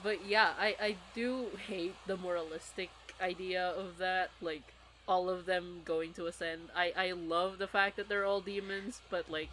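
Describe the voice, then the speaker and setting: A woman's voice: fairly low pitch, steady tone, unhurried, a bit bright, a little hiss or hum, poor audio. A woman in a casual, rambling fan discussion, talking as a podcast co-host.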